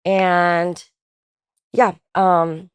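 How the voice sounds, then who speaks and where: A woman's voice: on the low side, very slow, clean tone, no echo, clean studio sound. A woman in her twenties from the Philippines, a guest chatting casually in an interview with lots of fillers and easy laughter. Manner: relaxed, chatty, laughs often, many fillers.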